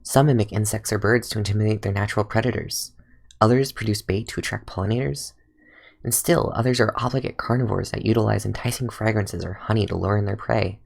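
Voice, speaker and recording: Fan game dub: a young man as a calm, sardonic fox-eared ranger scholar with a gravelly, fry-laden tone. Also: fairly low pitch, a little fast. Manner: dry, sardonic, with soft musing asides. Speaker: fan voice actor. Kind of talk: fan redub of game voice lines.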